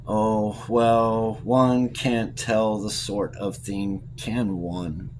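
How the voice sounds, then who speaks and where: A man's voice: fairly low pitch, flat delivery, very slow, slight background noise, low-quality recording. Man reading as a plucky, wry young woman. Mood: wry, sheepish.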